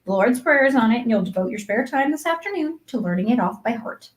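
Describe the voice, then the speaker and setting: A woman playing a stern, unsentimental guardian in a storybook, brisk, matter-of-fact and disapproving. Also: a little high, animated, voice pushed up, close to an everyday voice. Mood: stern, instructing. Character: stern, practical, unsentimental woman raising an orphan. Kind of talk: acted audiobook dialogue.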